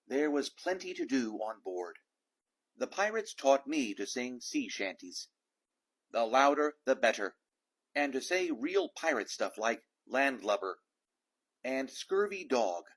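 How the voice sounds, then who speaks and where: A man reading a children's storybook aloud in an expressive narrating style. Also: medium pitch, a little slow, gravelly, husky. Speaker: storybook reader.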